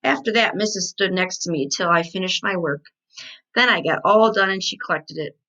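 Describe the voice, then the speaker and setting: A woman's voice: lowish voice, normal speed, pushed and bright, dull audio. A woman voicing a chatty, indignant kindergarten girl from a storybook, with emphatic childish phrasing.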